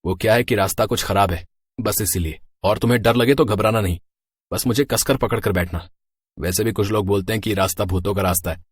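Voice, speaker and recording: Man acting a chatty, excitable biker, dry recording. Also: on the low side, a little fast, husky, dry recording. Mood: flirty, flustered. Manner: colloquial, stammers when flustered. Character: chatty, cocky married male biker, easily terrified. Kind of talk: horror story character dialogue.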